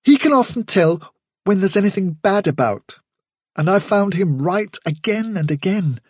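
A man's voice: high voice, lively intonation, normal speed, slightly rough, no echo, voice pushed up. A young storybook rabbit voiced by a man, protective and earnest, in a raised voice. He speaks respectfully and a little hesitantly, as to an elder. The sound is dry. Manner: polite, hesitant, deferential.

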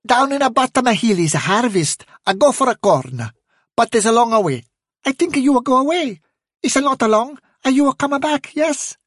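A tiny, anxious field mouse chatters in broken English, his voice pitched far above. He twitters eagerly, keen to please, with a slightly husky edge. The storybook recording is close and dry. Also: expressive, normal speed, fairly bright tone, dry recording, heavily acted. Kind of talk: audiobook character voice.

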